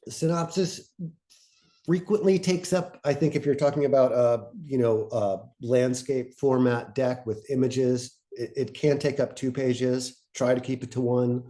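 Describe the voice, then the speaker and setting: Mellow-voiced man, halting informal teacher. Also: mid-range voice, fairly even intonation. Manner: halting, thinking aloud with broken-up phrases. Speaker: co-presenter of a fiction podcast pitching webinar. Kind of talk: informal instructional talk.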